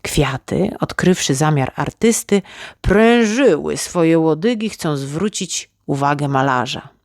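A woman reading a children's fairy tale as an expressive narrator. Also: low-pitched, slow pace, no room sound, clean studio sound. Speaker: children's story reader.

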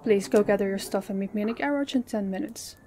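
A woman's voice: mid-range voice, fast talker, warm tone, natural voice. A woman playing a stern, composed company boss in an audio roleplay, giving orders with authority. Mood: curt, urgent. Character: stern, commanding female company boss, composed under stress. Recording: faint background hiss.

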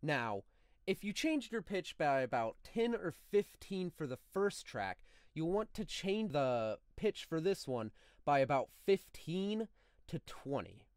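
A man narrating a step-by-step software tutorial in a deliberate, instructional manner, as a close, dry voice-over. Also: fairly high pitch, sing-song, no echo. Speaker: tutorial host.

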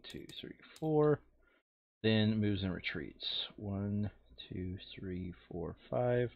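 A man's voice: lowish voice, expressive, takes it slowly, vocal fry, dull audio. A man playing a board game over voice chat, thinking aloud casually and muttering counts and rules to himself.

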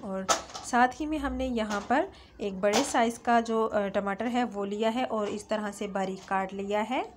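A woman's voice: mid-range voice, measured pace, background noise. A woman, narrating a home cooking recipe as a channel host, in a friendly, instructive way with small fillers. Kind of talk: step-by-step cooking voice-over. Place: home kitchen.

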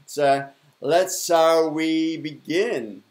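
A man's voice: a little high, extremely slow, darkish tone. A man in his fifties with a Dutch accent gives an effusive spiritual talk to camera, repeating affirming words. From Netherlands. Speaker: spiritual mentor and broadcast host. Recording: roomy, echoing indoor sound.

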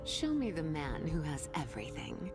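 A woman voicing a seductive, sadistic female demon from a video game, mocking and sultry, with a breathy, whispery, teasing delivery. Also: sits low, wildly swooping pitch. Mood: sinister, sultry. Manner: slow, purring, teasing delivery. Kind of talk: video game character voice lines. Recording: music behind the voice.

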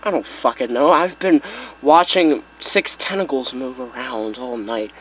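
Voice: medium pitch, fairly even intonation, a little slow, a little mellow, a little hiss or hum, close to an everyday voice, low-quality recording. A performer voicing a dim, drowsy cartoon guard minion with a sluggish, mumbling delivery. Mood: groggy. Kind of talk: comedic cartoon character voice acting.

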